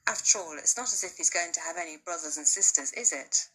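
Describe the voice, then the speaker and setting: A woman's voice: on the low side, quick pace, pushed and bright, breathy edge, poor audio. A woman reading aloud to a class, voicing a determined, tender mother on a farm, firm and pleading.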